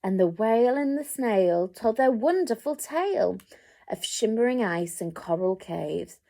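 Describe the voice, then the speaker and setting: Animated woman reading a children's story. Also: on the high side. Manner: deliberate, dramatic emphasis, addressing young listeners. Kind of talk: expressive picture-book read-aloud.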